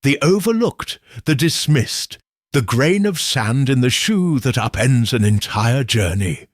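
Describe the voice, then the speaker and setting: A man voicing a shrewd, calm Victorian detective who reasons in a measured, precise way, in a husky voice. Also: medium pitch, no room sound, studio quality.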